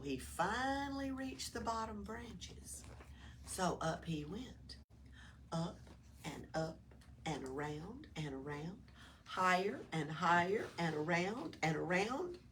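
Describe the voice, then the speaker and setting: Female storytime reader: unhurried, very lively pitch, dramatic emphasis, distant mic with faint noise. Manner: dramatic emphasis, sometimes hushed. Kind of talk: expressive children's read-aloud. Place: small town library.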